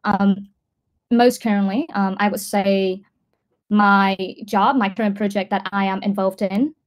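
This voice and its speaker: A woman at a middle pitch. She answers in a casual, conversational way, pausing and thinking aloud as a learner in an online class. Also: fairly even intonation. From Vietnam. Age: 20s. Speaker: English student, data scientist.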